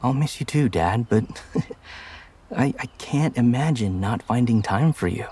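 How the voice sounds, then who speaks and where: A devoted, affectionate grown son, played by a man in a motion comic. His mid-pitched voice is husky, and he talks in a casual, earnest way. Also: lively intonation, unhurried, warm tone. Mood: tender.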